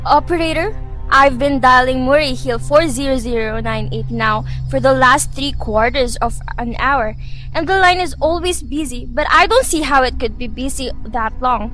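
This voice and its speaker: A woman acts out a nervous, sickly wife in a suspense radio play. Her voice is very high, plaintive and insistent, with some music behind the amateur recording. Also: moderate pace, bright, forceful tone, rough recording. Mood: fretful. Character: anxious, fretful invalid woman alone at night. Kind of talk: suspense radio drama acting.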